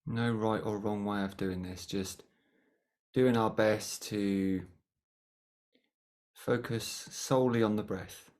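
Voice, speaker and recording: A meditation teacher guides a practice at a middling pitch. The delivery is gentle and unhurried, and short phrases are separated by long pauses. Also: fairly even intonation.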